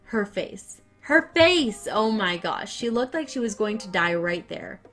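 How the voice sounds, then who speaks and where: Female narrator reading revenge stories, lively and excitable, soft music underneath. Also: medium pitch, measured pace. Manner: animated, swings from calm reading to loud excited outbursts. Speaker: story narrator.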